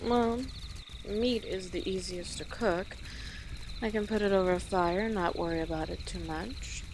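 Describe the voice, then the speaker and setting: A woman playing an easygoing, friendly female orc travel guide in an audio roleplay, speaking gently and conversationally to the listener with pauses. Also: on the low side, background noise, natural voice. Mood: fond, chatty. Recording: outdoor nature ambience with birdsong behind. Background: birds and rural outdoor ambience.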